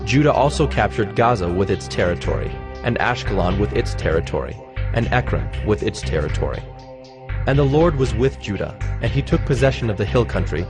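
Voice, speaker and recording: A man narrating scripture from a script in a measured, formal manner. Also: medium pitch. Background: music.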